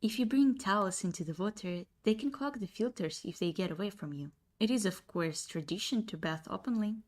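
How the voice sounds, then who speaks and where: A woman's voice: mid-range voice, animated, a little fast, darkish tone, lightly acted. A woman voicing a courteous, gossipy cat-girl bathhouse attendant in a fantasy audiobook, with formal phrasing. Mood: polite, explaining. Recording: music behind the voice.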